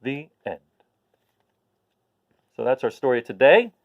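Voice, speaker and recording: A man reading a rhyming picture book aloud to young listeners in an expressive way. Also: mid-range voice, takes it slowly, narrow, tinny audio. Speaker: children's story reader.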